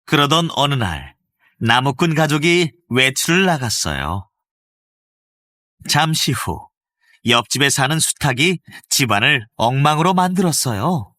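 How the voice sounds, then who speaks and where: A male voice actor narrating a children's fairy tale with gentle, expressive storytelling, recorded close in a studio. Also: mid-range voice, measured pace, no echo.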